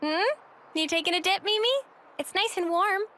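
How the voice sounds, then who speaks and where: A woman's voice: very high voice, normal speed, pushed and bright. A woman playing a perky, friendly teenage girl soldier in a game cutscene, chirpy, eager and informal. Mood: cheerful. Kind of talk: video game cutscene acting.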